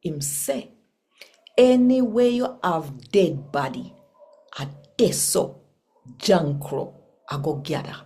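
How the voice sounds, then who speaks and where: A woman with a Jamaican accent reading scripture aloud as a channel host, in an expressive, measured storytelling way. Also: low-pitched.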